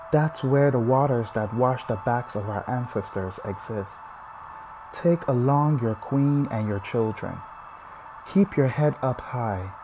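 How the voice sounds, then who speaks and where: A man giving a solemn spoken-word poetry recitation. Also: medium pitch. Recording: music under the voice. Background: music.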